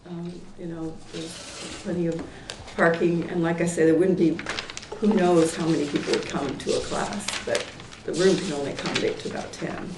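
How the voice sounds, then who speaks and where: A hoarse woman in her sixties who is losing her voice. She speaks at a public hearing and rambles with fillers and restarts. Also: fairly low pitch, flat delivery, normal speed. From Portland, Oregon, US. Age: 60s. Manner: rambling, many fillers and restarts, laughs lightly. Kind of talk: informal testimony at a town meeting. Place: town meeting room. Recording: echoey hall, far from the mic, faint noise.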